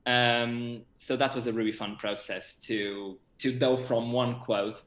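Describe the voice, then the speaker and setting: A man's voice: medium pitch, steady tone, measured pace, fairly bright tone, echoey room. A man in his twenties from Madeira with a Portuguese accent, chatting casually and hesitantly as he searches for words, as a guest on a video call. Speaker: interview guest, theatre actor and director. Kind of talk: casual chat.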